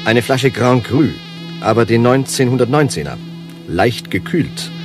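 An actor playing a pompous, lecherous gentleman patron with genteel manners in a satirical radio play. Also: mid-range voice, animated, unhurried, distant mic, music in the background, close to an everyday voice. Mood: smug, imperious. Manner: pompous, smug, formally genteel.

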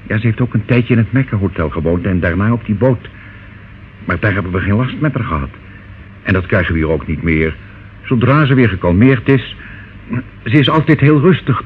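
Radio drama uncle, male actor: low-voiced, glib, condescending, falsely comforting; narrow, noisy old recording. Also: animated, normal speed, lightly acted. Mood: evasive, soothing. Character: smooth, devious older uncle, soothing and patronising.

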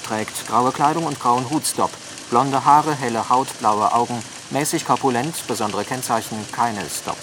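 An actor playing a formal, official-sounding reporter reading bulletins in a German radio play, measured and declarative. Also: male voice, mid-range voice, background noise, natural voice. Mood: official, clipped.

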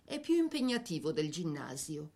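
A woman reading a scripted biographical narration for a dramatic piece, with measured, theatrical phrasing. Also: low voice, sing-song, mellow.

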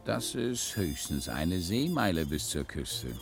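Deep-voiced, loyal boatswain. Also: male voice, very animated, takes it slowly, creaky, music in the background, exaggerated voice. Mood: comradely. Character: gruff but loyal boatswain, obedient to his captain. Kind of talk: 1960s German radio crime drama acting.

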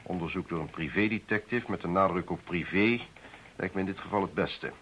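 Radio drama role: formal, guarded politician, very low and forceful voice, curt and evasive. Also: male voice, brisk. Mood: firm, evasive. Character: curt, secretive male politician under threat. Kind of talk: Dutch radio play acting. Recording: muffled, faintly noisy old radio recording.

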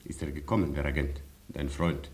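Deep-voiced, proud colonel. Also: male voice, steady tone, normal speed, darkish tone. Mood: brisk, commanding. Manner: terse, grave, formal. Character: proud, stoic Polish male colonel, gallant and commanding. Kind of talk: radio drama acting.

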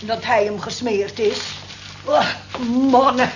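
Actress as a high-voiced, cynical cleaning woman. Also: fairly even intonation, a little slow, lightly acted. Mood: cynical, gossipy. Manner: dry, scoffing, gossipy. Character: Jess, worldly-wise, cynical, teasing cleaning woman. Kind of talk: vintage radio play acting. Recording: dull, hissy old radio play recording.

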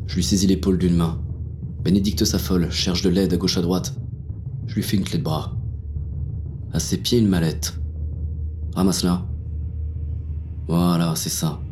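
A man playing a very deep-voiced, hard-boiled inspector. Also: fairly even intonation, normal speed, long pauses, warm tone, soft background music, lightly acted. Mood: urgent, menacing. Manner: gritty, coarse, brooding inner monologue. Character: hard-boiled, paranoid male police inspector on the run. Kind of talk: first-person noir audio drama narration.

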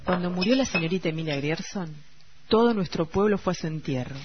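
A woman's voice: on the low side, animated, fairly quick, background noise, close to an everyday voice. A woman playing a gossiping townswoman with an Argentine accent, telling a story conversationally in a radio play. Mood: nostalgic, gossipy. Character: gossiping townswoman recalling a dead spinster neighbour. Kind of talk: radio play literary narration. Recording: dull radio recording with music behind. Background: music.

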